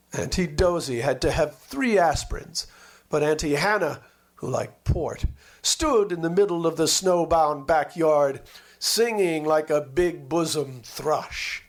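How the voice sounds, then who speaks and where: A man reads a literary memoir aloud with a storyteller's sense of drama. His voice sits a little high for a man. He takes his time, shaping each phrase deliberately and expressively.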